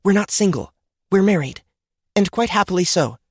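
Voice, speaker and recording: Casting: firm, prim married woman acted by a narrator, higher register, dry wit, fantasy storybook. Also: lively intonation, moderate pace, husky. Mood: indignant. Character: self-assured married woman, dryly firm, fantasy newcomer.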